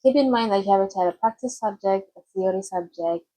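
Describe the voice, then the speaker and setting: A woman's voice: mid-range voice, brisk, mellow. A young woman giving casual study-planning tips to camera, chatty and loose, often restarting her sentences. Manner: chatty, loose and self-correcting, with frequent restarts. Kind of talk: casual explanatory tutorial.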